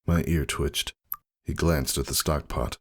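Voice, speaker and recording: Very deep, husky man narrating somberly. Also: fairly even intonation, measured pace. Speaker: story narrator. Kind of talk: dramatic first-person story reading. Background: faint music.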